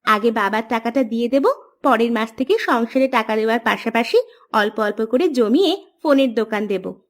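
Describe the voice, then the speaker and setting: A woman plays a sensible young wife from a village cartoon. Her voice sits high, and she talks in a bossy, sharp-tongued way, practical about household matters. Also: moderate pace, lightly acted. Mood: practical, planning. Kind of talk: cartoon dialogue.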